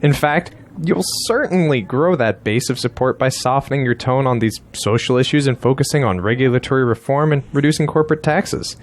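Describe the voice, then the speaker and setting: A man acting as a male political campaign strategist in an audio drama, with a mid-pitched voice. He is blunt and insistent, lecturing his client as he presses his case. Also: a little fast, hardly any pauses, close to an everyday voice. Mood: persuasive.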